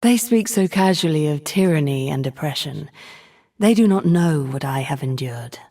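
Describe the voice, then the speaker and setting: An ancient, vengeful video game sorceress. Her voice is lowish and husky, and she speaks with proud, theatrical menace, measuring out each haughty phrase. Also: expressive, normal speed, dark tone. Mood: bitter, resolute. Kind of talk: video game character voice lines.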